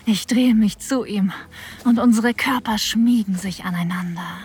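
An actress playing an aroused woman who narrates an intimate audio drama in a breathy, sensual, partly whispered voice. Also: mid-range voice, a little slow, darkish tone, natural voice.

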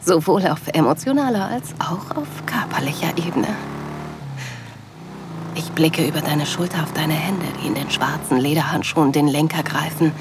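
A woman playing a loving, sensual middle-aged wife, narrating an intimate audio drama in a soft, dreamy manner. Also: low-pitched, normal speed, noisy background. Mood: tender, excited.

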